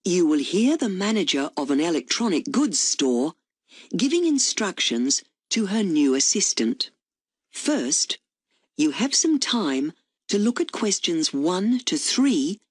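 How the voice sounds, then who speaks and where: A woman reading formal test instructions in a measured way. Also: fairly low pitch, big pitch swings, slightly rough, no room sound. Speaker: test narrator. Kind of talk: scripted exam instructions. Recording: dry studio sound.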